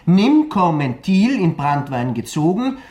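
An actor playing a serious, resolute gentleman of 1914 Vienna in a German radio play, speaking in a measured way. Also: fairly high pitch, sing-song, muffled recording. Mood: solemn. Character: Felix, sober, resolute gentleman in 1914 Vienna, reading and questioning. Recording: echoey room.

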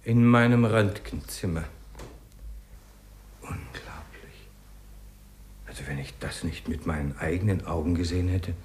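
An actor playing a brisk, self-assured, eccentric doctor in a 1950s radio play, theatrical in his delivery. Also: low voice, darkish tone, slight background noise. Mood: astonished.

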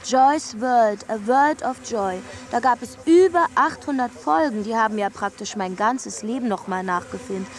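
An actress playing an excitable, self-dramatizing, insistent woman in a German radio play. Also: a little high, normal speed, hardly any pauses, natural voice. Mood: insistent, gushing.

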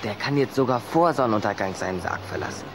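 Earnest, patient schoolboy, noisy backdrop. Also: male voice, on the low side, expressive, quick pace. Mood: reassuring. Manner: patient, persuasive. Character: earnest, reassuring schoolboy, friend of a vampire. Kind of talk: German children's audio drama acting.

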